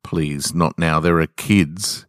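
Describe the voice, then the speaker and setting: A man's voice: rumbling low, slow, fairly bright tone, no room sound, clean studio sound, heavily acted. Troubled male customer acted by a man reader: clipped, strained delivery, suppressed rage, dramatic short-story narration. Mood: strained pleading.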